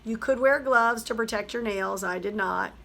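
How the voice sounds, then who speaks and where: Hoarse woman teacher, slightly gravelly, chatty step-by-step craft demo. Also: a little high, normal speed, fairly bright tone. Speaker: craft tutorial host. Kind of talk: craft tutorial voice-over.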